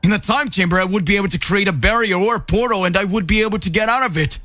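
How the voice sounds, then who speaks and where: A man's voice: high-pitched, sing-song, moderate pace, bright, forceful tone, no room sound, soft background music, voice pushed up, full character voice. A man voicing an eager, cheerful young martial artist hero. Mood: angry. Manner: upbeat and eager.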